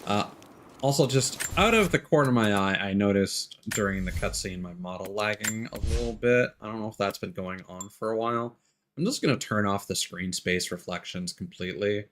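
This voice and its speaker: A man with a low voice commentates casually on his gameplay as a streamer. He thinks out loud and swears freely. There is clear noise behind him. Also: moderate pace. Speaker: game streamer.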